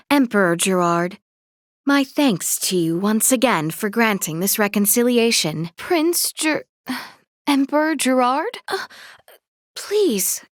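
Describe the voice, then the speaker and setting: A woman's voice: a little high, no room sound, clean studio sound. A woman playing a gracious, earnest young royal in a fantasy video game, speaking in a formal, polite, courtly manner. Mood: urgent, pleading.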